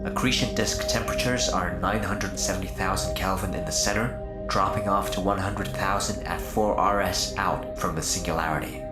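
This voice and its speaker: Guy doing a careful male spaceship officer, formal techy delivery, echoey voice with loud music under it, sci-fi radio play. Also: low voice, fairly even intonation, lightly acted. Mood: calm, factual. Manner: formal, technical, measured phrasing. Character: precise, cautious male ship's science officer. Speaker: actor in an audio drama. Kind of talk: science fiction audio drama acting.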